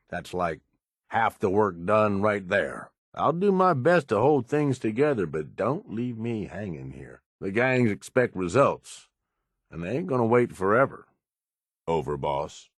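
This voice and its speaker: A man's voice: lowish voice, no echo, studio quality, heavily acted. An actor playing a cunning, blunt raider right-hand man in a video game, with an American accent and a folksy tough-guy manner. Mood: wry, coaxing. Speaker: companion character in a video game. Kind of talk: video game dialogue acting.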